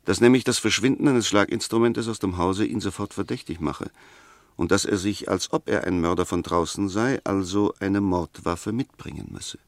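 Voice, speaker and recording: Measured, low-voiced defence lawyer. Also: male voice, dry recording. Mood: reasoned, insistent. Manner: measured, argumentative, precise, pointed. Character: sceptical defence lawyer, methodical, firm, gently ironic. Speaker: defence counsel. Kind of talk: 1960s German radio play acting.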